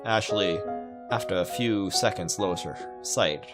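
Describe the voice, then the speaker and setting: A man's voice: deep voice, measured pace. A man reading a visual novel aloud and adding his own comments, sometimes stumbling over the words. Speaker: video game playthrough host. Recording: loud piano music behind. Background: piano game music.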